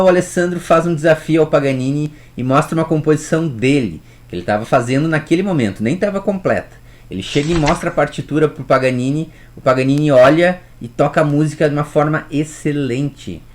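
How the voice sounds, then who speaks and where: A man, teaching music history in a casual storytelling way and talking straight to the viewer. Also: a little high. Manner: chatty, addresses viewer directly with rhetorical questions.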